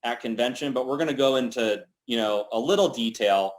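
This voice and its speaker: A man's voice: mid-range voice, fairly quick. A man hosting a company team call, talking casually and upbeat, with lots of "you know" and "like".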